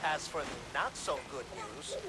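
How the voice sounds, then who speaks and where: An actor playing an earnest male mission handler in a video game, giving measured, formal, instructive briefings. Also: fairly high pitch, very animated, very noisy. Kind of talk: scripted video game dialogue.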